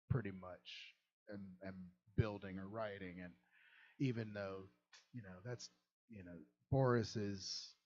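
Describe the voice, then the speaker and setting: Brief: young male streamer, low voice, loose and self-deprecating, slightly muffled stream audio. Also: a little mellow. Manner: rambling, chuckling, self-deprecating. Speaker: game modder and streamer. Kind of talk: casual livestream chat.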